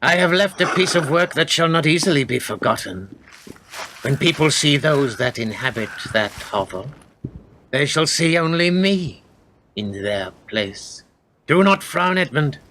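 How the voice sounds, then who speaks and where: Actor as a menacing, theatrical feudal lord. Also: male voice, a little high, animated, measured pace, pushed and bright, a little hiss or hum, exaggerated voice. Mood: stern, proud. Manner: archaic, theatrical, menacing speech. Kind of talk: radio play acting.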